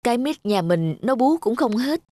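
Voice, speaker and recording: A woman playing a compassionate young village mother in a cartoon, earnest, expressive and pleading. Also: a little high, moderate pace, no room sound. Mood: pitying, urgent. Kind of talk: cartoon voice acting.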